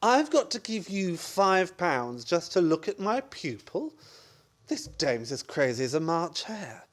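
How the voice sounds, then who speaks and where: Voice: medium pitch, very animated, unhurried. A performer playing a flustered, exasperated married man in a radio farce, blustering and stammering. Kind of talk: stage farce radio play acting.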